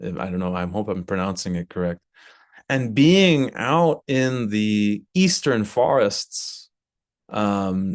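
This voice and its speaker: An American man in his thirties talking reflectively in an interview, searching for his words and repeating some for emphasis. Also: lowish voice. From Brooklyn, United States. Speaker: composer and violinist interviewee.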